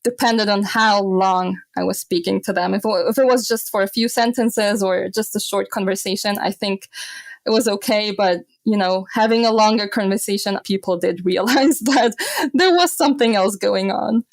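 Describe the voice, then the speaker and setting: A woman in her twenties who grew up in Austria, telling stories as a podcast guest, animated and often laughing. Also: mid-range voice, normal speed, gravelly, dry recording. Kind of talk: casual podcast interview.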